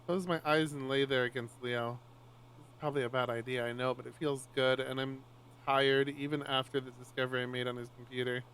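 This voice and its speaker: A man streaming live, reading a visual novel aloud in an expressive, swearing style with loud outbursts. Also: mid-range voice, brisk, smooth, low-quality recording.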